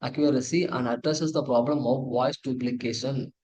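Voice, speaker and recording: Halting Indian-accented male tutorial presenter. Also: mid-range voice, steady tone, a little mellow, rough recording. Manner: halting, repetitive, self-correcting. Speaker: presenter of an engineering project demo. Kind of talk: tutorial walkthrough, partly read from slides.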